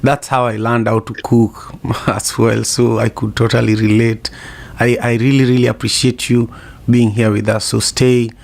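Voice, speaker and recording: A man's voice: mid-range voice, level tone, moderate pace, gravelly, a little mellow. A man hosting a live audio discussion, speaking graciously with many repeated words. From Kenya. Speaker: host.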